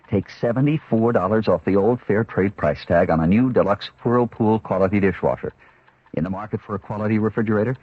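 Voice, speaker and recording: A man delivering a 1970s radio advertising pitch with urgent salesmanship. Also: slightly rough. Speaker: commercial announcer. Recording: dull 1970s radio recording.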